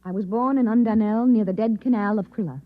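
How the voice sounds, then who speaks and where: An actress playing a weary, guarded housewife with a secret, in a dramatic, controlled golden-age radio style. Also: female voice, medium pitch, fast, lightly acted. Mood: cool, guarded. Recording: dull vintage radio broadcast.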